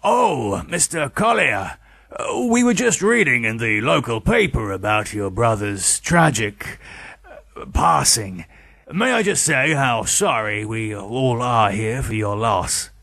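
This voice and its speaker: A man voicing a bluff, chatty middle-aged estate agent with a gravelly, jovial manner in an audiobook. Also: mid-range voice, big pitch swings, slow, bright, forceful tone, no room sound, exaggerated voice. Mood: solicitous. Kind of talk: audiobook character voice.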